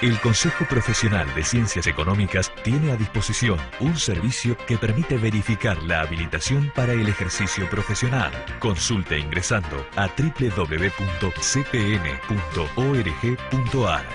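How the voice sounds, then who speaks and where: A man's voice: lowish voice, expressive, moderate pace, hardly any pauses, over loud music. A man reading scripted advert copy as an announcer.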